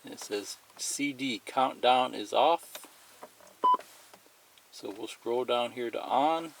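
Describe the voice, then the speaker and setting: A man's voice: mid-range voice, slow, creaky, background noise. A man giving a plain, methodical, unscripted step-by-step demonstration of a product as a tutorial presenter.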